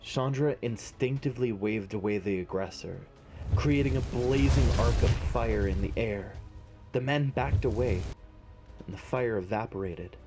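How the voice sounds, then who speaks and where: A man narrating a scripted fantasy story in a measured, dramatic way. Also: lowish voice, darkish tone, soft background music.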